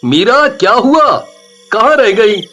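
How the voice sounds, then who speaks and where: A man's voice: very high-pitched, lively intonation, takes it slowly, soft background music, full character voice. A man voicing a kind husband in a cartoon story who worries about feeding others. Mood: concerned. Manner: gentle, concerned. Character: caring husband, kindly head of house.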